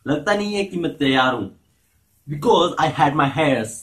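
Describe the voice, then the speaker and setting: A young man from India talks playfully to a handheld camera in a casual vlog. His voice sits a little high for a man. He speaks slowly and drawls, stretching his words out. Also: lively intonation, a bit bright. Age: young adult. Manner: playful, jumps between Hindi and broken English. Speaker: vlogger. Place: small home room and village outdoors. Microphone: handheld camera at arm's length.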